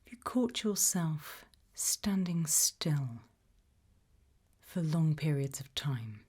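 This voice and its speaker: Breathy, low-voiced British woman whispering ASMR. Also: animated. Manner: gentle, measured questioning. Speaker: ASMR roleplayer as an abduction investigator. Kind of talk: ASMR interview roleplay, mostly whispered.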